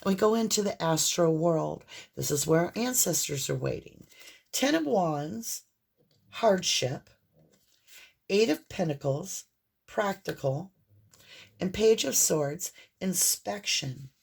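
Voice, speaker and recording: Hoarse chatty woman reading tarot. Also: fairly low pitch, expressive, takes it slowly, a little mellow. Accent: mixed Southern and Northern American. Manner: chatty, rambling, talks straight to the viewer. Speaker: tarot reader.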